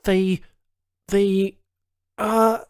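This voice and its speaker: A man playing a disoriented, desperate ex-military escapee in a science fiction audiobook, talking to himself in strained, halting bursts. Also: high-pitched, level tone, clear, resonant tone, no room sound, studio quality, voice pushed up. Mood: straining to remember. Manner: strained, halting, urgent self-talk.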